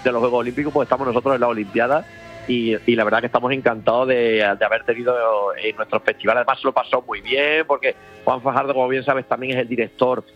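A man's voice: medium pitch, steady tone, fast talker, a bit bright, muffled recording, soft background music. A man, a festival organizer talking enthusiastically in a casual radio interview, rambling with many restarts.

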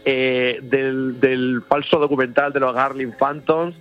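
Man, festival organizer, eager and rambling, muffled radio sound with soft music under it. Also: mid-range voice, level tone, slow. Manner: enthusiastic, rambling with many restarts. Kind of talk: casual radio interview.

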